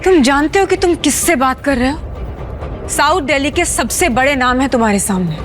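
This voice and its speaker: A haughty wife in an audio drama. Her voice is very high and cutting as she scolds, with music clearly behind her. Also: animated, normal speed. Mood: threatening. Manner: stern, cutting. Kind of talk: Hindi audio drama acting.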